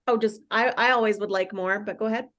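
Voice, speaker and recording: A woman with a medium-pitched voice chats in a casual group discussion. She talks informally, as one of several people sharing opinions on a series. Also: fairly even intonation, quick pace, a bit bright.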